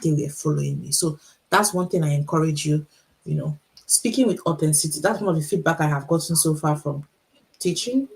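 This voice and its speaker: A middle-aged Nigerian woman speaks in a low, gravelly voice with a Nigerian accent. She talks deliberately, so her listeners can keep up. She repeats her main points and throws rhetorical questions at the viewers of her livestream. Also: a little mellow, poor audio. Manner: encouraging, repeats key lines, asks rhetorical questions. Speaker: author and motivational speaker. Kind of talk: motivational livestream talk.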